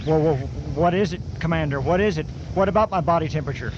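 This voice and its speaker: An actor playing a doomed, fatalistic spaceship lieutenant in a dramatic radio science fiction play. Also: male voice, a little high, fast talker, noisy background, natural voice. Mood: anxious. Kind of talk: old-time radio sci-fi acting. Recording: muffled audio under loud music.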